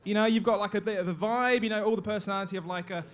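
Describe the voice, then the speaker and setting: A man's voice: sits high, fairly even intonation, racing, a crowd in the background. A man giving casual, blunt, crude feedback as a judge on a comedy show.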